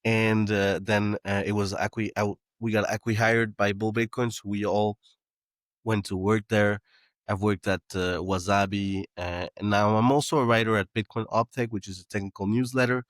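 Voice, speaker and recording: Low-voiced man, halting guest talk. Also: steady tone, no room sound, clean studio sound. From Canada. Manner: halting, many restarts and fillers. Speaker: guest, startup founder. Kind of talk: casual interview talk.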